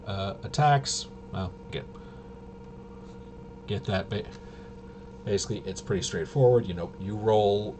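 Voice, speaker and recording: Casting: male rules explainer, lowish voice, halting off-the-cuff delivery that trails off, noticeably noisy recording. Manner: halting, trails off and self-corrects. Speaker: host. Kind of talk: casual rambling tabletop game rules walkthrough. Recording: clear steady background noise.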